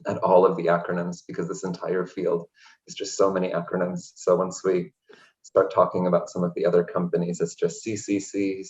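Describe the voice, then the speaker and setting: A man from Hungary, a guest in an interview, speaking in a relaxed, chatty way with many fillers over a video call. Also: deep voice, a little fast, lo-fi sound. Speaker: interview guest, language access programs director. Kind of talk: casual interview conversation.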